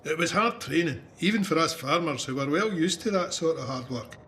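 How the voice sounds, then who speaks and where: A man's voice: on the high side, lively intonation, a little fast, a bit bright, slight background noise. An actor playing a plain-spoken Ayrshire farmer and war veteran, telling his memoir in a reflective, conversational Scottish voice. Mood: steady recollection. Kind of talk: dramatised first-person memoir narration.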